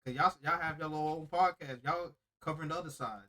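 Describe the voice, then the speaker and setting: A man's voice: on the high side, quick pace, bright, forceful tone. A man hosting a wrestling podcast, slangy and hyped, who keeps saying "I ain't gonna lie". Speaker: podcast host. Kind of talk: casual podcast sign-off chat.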